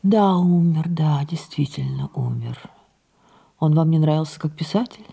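An older woman acting an imperious, capricious, wistful aging French stage diva in an audio play, with theatrical shifts between exclamation and hush. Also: animated, a little slow, full character voice. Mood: reflective. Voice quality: husky, creaky low voice.

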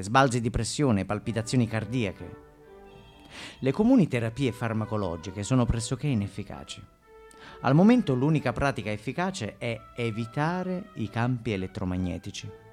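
A man's voice: lowish voice, a little mellow. Sicilian man narrating theatrically over music. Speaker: stage narrator and actor. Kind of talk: dramatic theatrical monologue narration. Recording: background music under the voice.

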